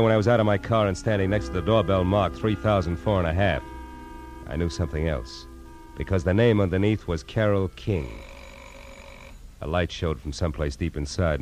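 A man's voice: deep voice, darkish tone, natural voice. An American man playing a wry, terse, hard-boiled private detective in 1940s radio noir style. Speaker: narrator and lead actor. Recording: noisy old radio drama recording.

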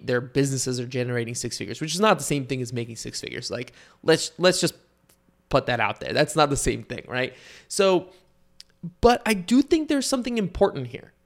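A young man from Bulgaria hosting a solo podcast and talking to his listeners in a relaxed, conversational way. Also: medium pitch, a little fast. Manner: conversational, with fillers and asides to listeners. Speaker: podcast host. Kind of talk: casual solo podcast monologue.

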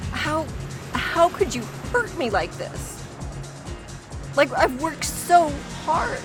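A woman's voice: very high-pitched, very animated, extremely slow, long pauses, music in the background, exaggerated voice. A woman playing an earnest, easily wounded young graduate in an audio drama. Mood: hurt, angry. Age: young adult. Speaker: actor.

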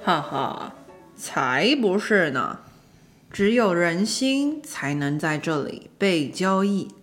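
A chatty little dwarf guide voiced by a woman, very animated and knowing, explains things with a teasing edge. It is a children's storybook character, with faint music behind. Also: on the low side, takes it slowly, pitched below the performer's own voice. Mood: explaining, persuasive. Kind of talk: children's audio storybook acting.

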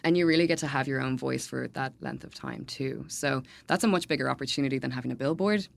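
A woman speaking as a guest on a podcast, answering in a relaxed conversational way with light laughs and frequent fillers. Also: very deep voice, quick pace, creaky. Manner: laughs lightly, many ums and kind-ofs. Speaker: events manager, podcast guest. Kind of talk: casual interview answers.

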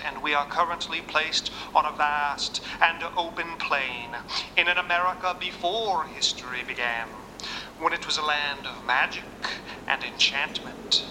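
A man's voice: high voice, long reverb tail, noisy background. A man playing a courtly, folksy Southern preacher in an audio drama, speaking in a grandiloquent, courteous way. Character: courtly folksy preacher husband broadcasting from inside a radio.

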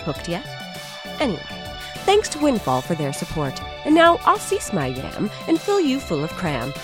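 A woman's voice: fairly low pitch, wildly swooping pitch, moderate pace. A woman presenting a podcast with a theatrical, quirky, comic introduction. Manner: playful, mock-dramatic, quirky. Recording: music bed behind.

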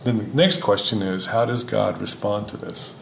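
A man from Houston, an Old Testament scholar, talking as a podcast guest in a thoughtful, measured way with frequent fillers. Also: on the low side, fairly even intonation, vocal fry, a bit bright. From Houston, Texas, United States. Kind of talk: podcast interview. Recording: roomy sound with faint ambient noise.

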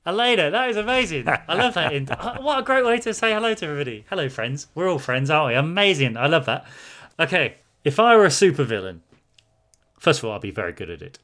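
A man's voice: on the high side, very animated, fast talker, bright, forceful tone. A man co-hosting a casual chat, enthusiastic and teasing as he answers viewer questions.

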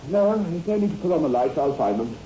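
Haunted surgeon, vintage radio horror: anguished, halting, swinging pitch, noisy dull old recording. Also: male voice, sing-song, warm tone. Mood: subdued. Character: anguished surgeon obsessed with a fatal prophecy. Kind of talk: 1960s radio horror acting.

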